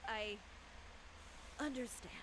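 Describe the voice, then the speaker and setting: Self-reliant female designer, dry, composed, slightly high, ambient game noise. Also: slow. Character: proud, cool-headed female tailor, self-reliant. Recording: game audio with background ambience.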